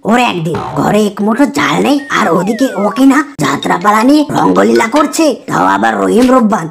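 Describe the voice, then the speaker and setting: Creaky, scolding cartoon tigress. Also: female voice, medium pitch, expressive, a little fast, over music. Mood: angry. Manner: scolding, sarcastic. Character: short-tempered, abusive mother tigress, cartoon.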